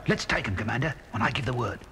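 A man's voice: medium pitch, expressive, fast talker. An actor playing a brash, sardonic male space pilot in an audio drama. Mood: urgent. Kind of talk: children's audio drama record acting. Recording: loud music on vintage LP drama.

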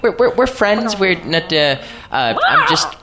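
A woman playing a flustered, halting chess player who stammers and backtracks, in live improvised comedy theater. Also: mid-range voice, huge pitch swings. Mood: flustered, evasive. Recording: live comedy stage with audience noise.

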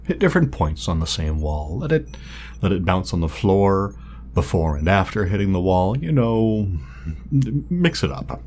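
An actor playing a meticulous male scientist in an audio drama, speaking formally and wordily, halting when flustered. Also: deep voice, big pitch swings, a little mellow, a little hiss or hum. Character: meticulous, fussy male scientist testing his drone creation.

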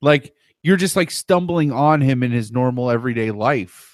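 A man's voice: mid-range voice, lively intonation, moderate pace, dry recording. A man co-hosting a casual chat about a video. He jokes and halts, stumbling over his words. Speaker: co-host.

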